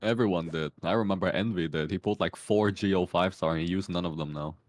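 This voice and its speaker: A man's voice: sits low, fast talker, no room sound. A man giving game advice in casual, informal chat with a wry, teasing manner. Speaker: guest advising the streamer on the game.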